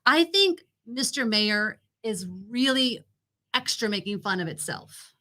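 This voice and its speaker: Bright, high-voiced woman co-hosting a chat show. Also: lively intonation, unhurried. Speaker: co-host of a TV review show. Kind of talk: casual unscripted chat, presenting a ranked list.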